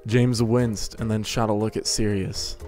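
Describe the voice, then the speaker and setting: Low-voiced man narrating a story. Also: level tone, unhurried, a little mellow, soft background music.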